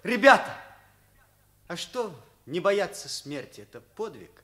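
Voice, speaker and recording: An actor playing an earnest, thoughtful teenage schoolboy in a Soviet radio play. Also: male voice, high voice, big pitch swings, a little slow, a bit bright, full character voice. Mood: pensive.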